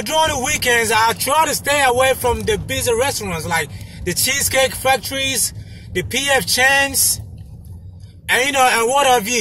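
High-pitched, husky man vlogging casually. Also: bright, forceful tone. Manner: emphatic, repetitive, punctuated with "you know". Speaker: delivery driver vlogger giving tips. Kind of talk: casual chat to camera. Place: inside a parked car. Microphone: phone mounted in front of him.

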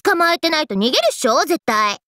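Very high, hyper trendy party girl. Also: big pitch swings, quick pace, noisy background. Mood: energetic, cheeky. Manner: exuberant, breezy slangy speech. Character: hyper, slangy, fun-loving trendy young party girl. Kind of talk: anime game character lines.

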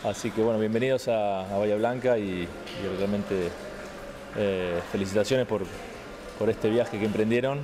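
A man's voice: on the low side, normal speed, background noise. A man in his thirties with an Argentine accent, answering interview questions thoughtfully, with many restarts. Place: indoor room, seated on a couch. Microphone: handheld mic held out by interviewer.

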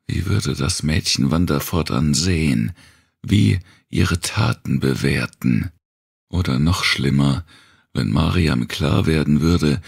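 A man reading a dark thriller audiobook in a measured, deliberate way, with a gravelly, husky voice. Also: a bass voice, dry recording, studio quality. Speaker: audiobook narrator. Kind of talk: scripted narration of a dark post-apocalyptic thriller.

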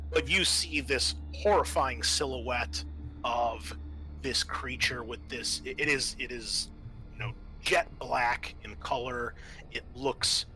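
A man's voice: medium pitch, pushed and bright. A man narrating a tabletop roleplaying game as game master, describing scenes in an informal, improvised, hesitant way.

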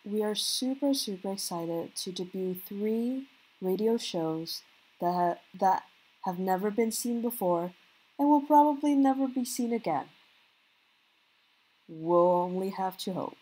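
A woman with a husky, breathy voice introduces a night of radio plays. She sounds upbeat and casual and stumbles over a word now and then. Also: medium pitch, expressive, unhurried, mellow, poor audio. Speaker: show curator introducing the plays.

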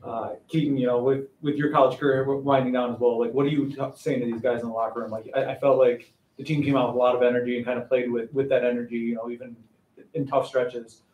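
A man, a sports reporter asking questions at a press conference in a halting way, with many fillers. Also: medium pitch, little pitch movement, roomy sound, lo-fi sound.

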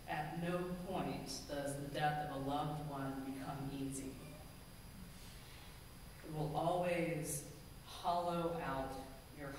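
Earnest woman minister in a booming hall. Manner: measured, earnest, slows for emphasis. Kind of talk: sermon. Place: large church sanctuary, at a pulpit. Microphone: pulpit gooseneck mic, far camera. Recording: echoey hall from far off, ambient noise.

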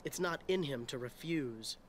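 A man's voice: medium pitch, expressive, unhurried, a little hiss or hum, natural voice. An actor playing a stern young male temple guard in a video game. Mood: warning.

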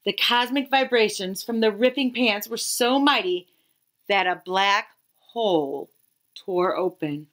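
A woman in her thirties reading a children's picture book aloud expressively, with dramatic pauses and emphasis. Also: medium pitch, a bit bright. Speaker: read-aloud storyteller.

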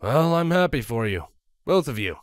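A jovial male pony captain, acted by a man in a story reading. He is blunt and hearty, bantering with animated swings of pitch in a dry, close sound. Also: normal speed, dry recording, lightly acted. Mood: friendly.

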